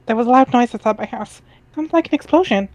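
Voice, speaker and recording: An amateur reader voicing a cheerful, curious teenage girl in a dramatic reading, with bright, exclamatory delivery. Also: medium pitch, animated, fast talker, lo-fi sound, pitched above the performer's own voice, lightly acted. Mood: startled, curious.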